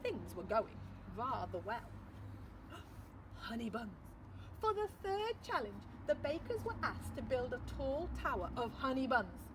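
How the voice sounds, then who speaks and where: A woman teacher reading a picture book aloud, expressive storytelling with dramatic pauses. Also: on the high side, background noise. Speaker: primary school teacher.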